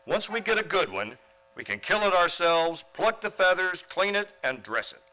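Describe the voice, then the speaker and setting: A man's voice: high voice, sing-song, normal speed, bright, forceful tone, full character voice, rough recording. An actor playing an earnest, naive, mild-mannered male schoolteacher in a comedic radio play. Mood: eager.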